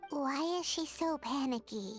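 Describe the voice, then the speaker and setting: A woman voicing a tiny, excitable childlike fairy companion in an anime game, with squeaky, exclamatory delivery. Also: a little slow, soft background music. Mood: puzzled. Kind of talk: scripted anime game dialogue.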